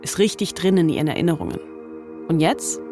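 A woman's voice: fairly low pitch, expressive, a little fast, over music. A woman hosting a narrative podcast, reading scripted storytelling in a conversational way with short dramatic sentences. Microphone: close studio microphone.

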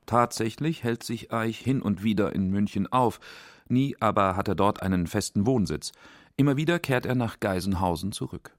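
A man's voice: lowish voice, moderate pace, no echo, clean studio sound. A man narrating a scripted radio documentary in a factual, composed way.

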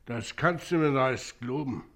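An actor playing a sullen, henpecked working-class husband in a theatrical dialect comedy. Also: male voice, mid-range voice, moderate pace, vocal fry, fairly bright tone. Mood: grumbling, stubborn.

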